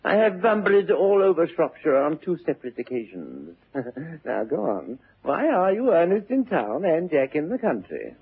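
An actor playing a witty, idle young gentleman with an upper-class English accent, arch and mock-serious in a vintage theatrical comedy style. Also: fairly high pitch, wildly swooping pitch, moderate pace, slightly rough, full character voice. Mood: knowing, amused. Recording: dull, muffled old radio recording.

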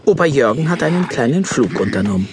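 A man's voice: mid-range voice, sing-song, quick pace, crowd noise. A man narrating a scripted children's story expressively.

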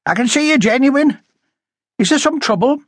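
A man voicing a blunt, prickly older working-class woman with a high, rasping voice, emphatic and chatty, as audiobook dialogue. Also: animated, moderate pace, no room sound, studio quality. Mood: brisk, curious.

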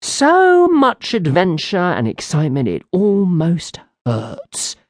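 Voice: mid-range voice, big pitch swings, normal speed, no room sound, studio quality, pitched above the performer's own voice. A storyteller voicing a vain, witty male fairy who mocks everyone in a theatrical way. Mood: mocking. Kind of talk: audiobook character voice.

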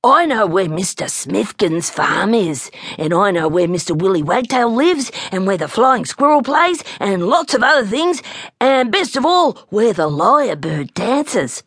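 A woman's voice: medium pitch, sing-song, measured pace, breathy edge, no echo, studio quality. A woman voicing a bold, naughty, boastful little boy koala bear in a children's storybook. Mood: excited, adventurous. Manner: cocky, defiant, scornful.

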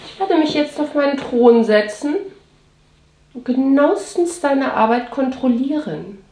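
A woman playing a haughty mistress in an intimate audio drama, commanding and condescending, often slipping into breathy whispers. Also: high-pitched, expressive, a little slow, dark tone, roomy sound, slight background noise. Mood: commanding, sensual. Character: haughty dominatrix mistress commanding her servant. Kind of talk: scripted German audio drama monologue, intimate.

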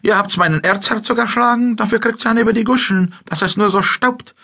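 An actor playing a chatty, simple-minded Czech ex-soldier and dog seller with a Bohemian-tinged Austrian accent, in a comic audiobook. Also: male voice, high voice, brisk, gravelly, sharp tone, exaggerated voice. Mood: opinionated, rambling. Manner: rambling, folksy, mock-naive.

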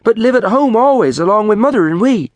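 A man's voice: sits high, animated, a little fast, a little mellow, no echo, pitched above the performer's own voice. Eager little country boy. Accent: rural English West Country. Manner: piping, eager. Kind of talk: audiobook character voice.

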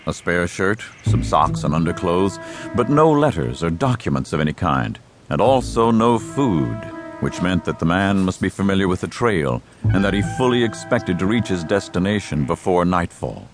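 A man narrating a dramatized western audiobook in a measured storytelling style. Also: sits low, big pitch swings, music in the background.

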